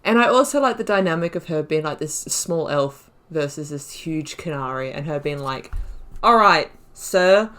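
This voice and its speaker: A woman's voice: low-pitched, expressive, moderate pace, hardly any pauses, fairly bright tone. A woman hosting a playthrough with casual commentary, reflectively thinking aloud as she goes. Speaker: gaming channel host. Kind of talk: casual let's play commentary.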